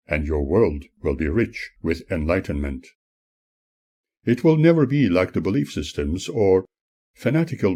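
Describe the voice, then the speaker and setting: A man narrating his own spiritual audiobook as its author, in an earnest, preachy manner with measured phrasing and a husky voice. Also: low voice, mellow. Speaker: author reading own book.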